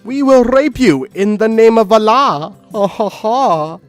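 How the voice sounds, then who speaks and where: An excitable militant villain from a parody story, put on by a man. He exclaims loudly and bombastically, with the pitch pushed high and swinging widely. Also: a little slow, faint music behind. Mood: gloating.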